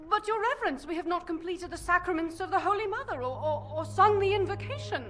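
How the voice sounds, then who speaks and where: A woman's voice: extremely high pitch, animated, fast talker. A woman playing an anxious, devout villager in a video game, flustered and deferential. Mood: flustered pleading.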